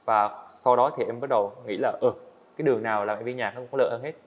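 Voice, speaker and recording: Young man, podcast guest, rapid talk, earnest, slightly muffled sound. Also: medium pitch, steady tone. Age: young adult. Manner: earnest, explains at length with fillers. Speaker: guest, student animator. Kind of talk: casual interview talk. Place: studio set, indoors.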